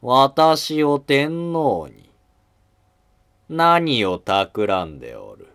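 A man playing a proud, suspicious imperial prince of ancient Japan in a historical drama, with lofty, drawn-out archaic speech. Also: mid-range voice, sing-song, fairly bright tone, clean tone, pitched above the performer's own voice, heavily acted. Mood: astonished, wary.

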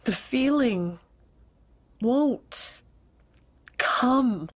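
A woman's voice: a little high, expressive, extremely slow, breathy edge, full character voice. An actress playing an anxious wife who pleads with her husband in an audio drama. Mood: whispered, distressed. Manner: emotional, pleading, nervous laughs. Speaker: actor.

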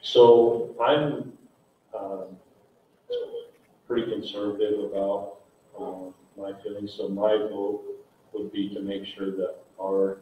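A man's voice: lowish voice, flat delivery, slow pace, long pauses. A man running for office, answering a forum question into a handheld mic in a large community hall, hesitant and self-deprecating with many fillers. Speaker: political candidate. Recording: echoey hall, distant, phone-like, faint hiss.

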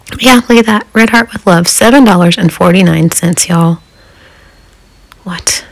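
A woman's voice: fairly low pitch, moderate pace, low-quality recording. Folksy woman, chatting casually. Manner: folksy, rambling, chuckles often. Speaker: craft vlogger. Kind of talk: casual chat.

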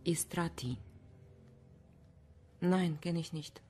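A woman's voice: lowish voice, takes it slowly, dark tone, husky. An actress playing a guarded, defiant Romanian immigrant woman in a crime radio drama, with clipped, formal phrasing. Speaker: actor in a crime radio play. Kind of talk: German radio drama acting.

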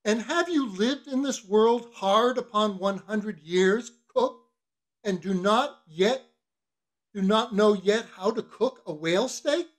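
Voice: mid-range voice, expressive, slow. A reader voicing a bossy, mocking whaling-ship officer in an audiobook, questioning with teasing mock solemnity. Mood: mocking, interrogating. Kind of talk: literary audiobook character reading.

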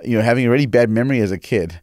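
A man's voice: mid-range voice, very fast, darkish tone, no room sound. A South African man in his forties hosting a conversational interview podcast at a close microphone in a studio. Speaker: podcast host. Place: small indoor podcast studio. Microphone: close studio microphone on arm.

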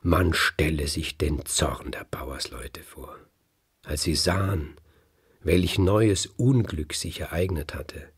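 A man narrating a folk tale as a storyteller, with dramatic pauses and slow emphasis. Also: a bass voice, breathy edge.

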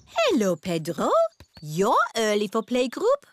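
A woman's voice: medium pitch, wildly swooping pitch, measured pace, a little hiss or hum. A woman voicing a kindly gazelle teacher in a children's cartoon. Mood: brisk, cheerful. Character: Madame Gazelle, kindly female gazelle playgroup teacher.

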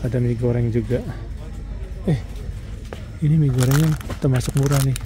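A man's voice: mid-range voice, fairly even intonation, moderate pace, noisy background. A man from Indonesia talking casually to viewers while filming a shopping trip, pointing out prices as he walks. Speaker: vlogger. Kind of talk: casual shopping vlog narration. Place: shops and street outdoors.

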